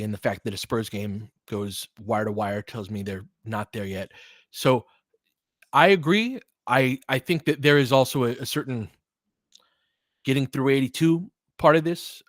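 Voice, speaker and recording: A man's voice: mid-range voice, a bit bright, dry recording, studio quality. A man hosting a sports stream in a casual, conversational way, thinking aloud and qualifying his opinions as he answers listeners. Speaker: sports livestream host.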